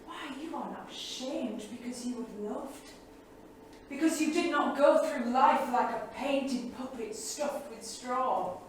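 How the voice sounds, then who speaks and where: A woman's voice: high-pitched, slow pace. An actress playing a defiant, candid younger woman in a staged reading, with a dramatic, emphatic delivery. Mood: earnest, probing. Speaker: actor. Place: small theatre studio. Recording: distant, echoey theatre recording, faint noise.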